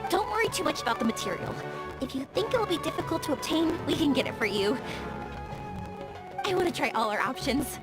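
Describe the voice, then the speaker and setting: High-voiced, earnest young woman. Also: lively intonation, brisk, poor audio. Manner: friendly, polite, upbeat. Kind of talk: video game voice acting. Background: faint game music.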